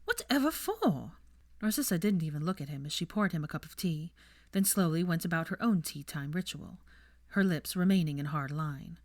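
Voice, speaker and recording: A woman's voice: sits low, a little fast, a little mellow, no room sound, studio quality. A woman reading fiction aloud as a scripted story narration.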